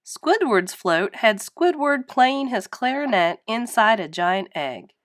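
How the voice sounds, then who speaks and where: A woman reading a children's story aloud in an animated narrating style. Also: fairly high pitch, slow, no echo, clean studio sound. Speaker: storybook reader.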